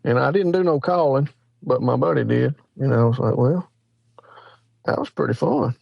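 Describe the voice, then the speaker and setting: A man's voice: mid-range voice, moderate pace, vocal fry, no room sound, highs cut off. A man in his forties with a Southern US accent, a guest telling rambling, folksy stories in a casual interview. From Mississippi, USA. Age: 40s. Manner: rambling, folksy, with lots of fillers. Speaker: guest hunter.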